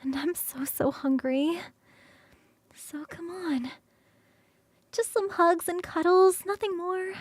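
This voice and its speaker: Woman acting as a high-voiced, cuddly succubus roommate. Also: steady tone, no room sound. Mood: coaxing. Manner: coaxing, sighing, drawn-out savouring, shifting into soft whispers. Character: playful, hungry, cuddly young succubus roommate, affectionate and teasing. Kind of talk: ASMR roleplay.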